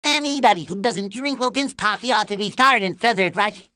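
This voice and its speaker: An actor voicing a cheerful, pushy puppet coffee pitchman in a comedic ad sketch, chipper and deadpan about mayhem. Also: fairly quick, no echo, clean studio sound, natural voice. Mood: chipper menace.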